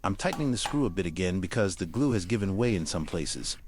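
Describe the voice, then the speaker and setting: A man with a fairly low voice narrates a hands-on tutorial in careful, step-by-step fashion. His pitch moves around in a lively way as he gives instructions. Some faint noise and clicking sit behind the voice. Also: quick pace.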